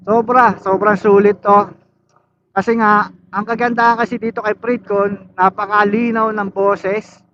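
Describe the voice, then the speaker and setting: High-voiced man walking through a DIY fix. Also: level tone, a little slow, fairly bright tone, dull audio. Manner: chatty, keeps pointing things out with short interjections. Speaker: DIY vlogger. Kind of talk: casual how-to tutorial.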